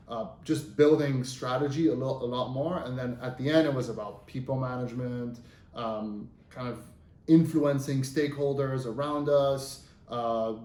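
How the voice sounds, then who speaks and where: A man's voice: medium pitch, darkish tone, slight background noise. A man in his thirties, from Lebanon with Arabic as his first language, telling his career story as an interview guest in a loose, conversational way with many fillers and rephrasings. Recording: roomy echo.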